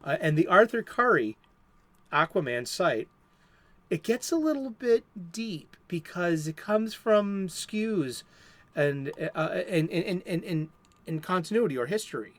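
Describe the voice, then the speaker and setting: Brief: male host with a Boston accent, a touch high, rambling and chatty, dry close sound. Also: expressive, a little slow, a bit bright, no room sound. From Boston area, USA. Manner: rambling, many restarts and fillers. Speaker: comic book show host. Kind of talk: casual solo talk. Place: home studio.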